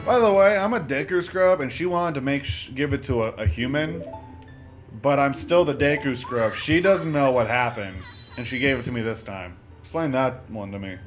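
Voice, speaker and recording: A man's voice: medium pitch, moderate pace. A man hosting a let's play with casual, rambling gameplay commentary, often interrupting himself with joking asides. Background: video game music.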